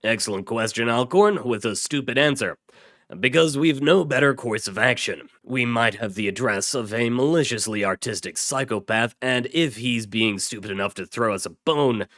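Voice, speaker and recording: A wry task-force commander, voiced by a male narrator, briefing his men. He sounds commanding and brisk, recorded dry for an audio story. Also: medium pitch, fairly bright tone, no echo, lightly acted. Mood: brisk, sardonic.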